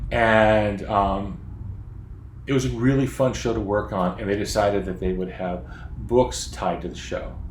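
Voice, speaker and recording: A man's voice: fairly low pitch, a little mellow. Man, fifties, children's author, casual and self-mocking, echoey home office, faint hiss. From Florida, USA. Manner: chatty, self-deprecating, joking. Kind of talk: casual chat to young readers. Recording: roomy office sound, faint background noise.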